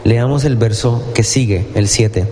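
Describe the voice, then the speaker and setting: A man's voice: medium pitch, a little slow, darkish tone, slight background noise. A man preaching a sermon in a church, talking conversationally and asking his listeners questions. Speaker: pastor.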